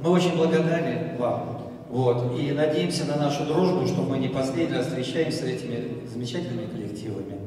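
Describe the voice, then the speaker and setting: A man's voice: medium pitch, background noise. Guy making a shaky thank-you speech on stage, big echoey hall, mic far away. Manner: emotional, halting, searching for words. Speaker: dance group leader giving a speech. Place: large hall with audience. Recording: echoey hall, recorded from afar.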